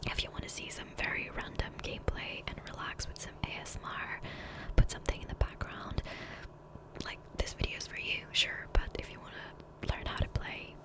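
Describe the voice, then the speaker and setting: A woman speaking in a soft, breathy whisper close to the microphone, rambling casually and self-deprecatingly as an ASMR gaming creator. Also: moderate pace, poor audio. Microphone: close USB condenser microphone.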